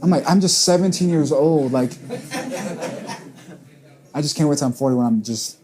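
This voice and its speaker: A man's voice: fairly high pitch, moderate pace, a crowd in the background. A man in his late twenties, a church planter and pastor, talking earnestly in a casual panel chat and repeating words as he thinks. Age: 20s.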